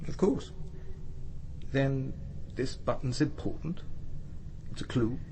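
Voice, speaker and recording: An actor playing a mild, courteous, slightly hesitant parish priest in a radio drama. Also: male voice, mid-range voice, slow pace, gravelly, mellow, slight background noise. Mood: anxious. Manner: polite, hesitant.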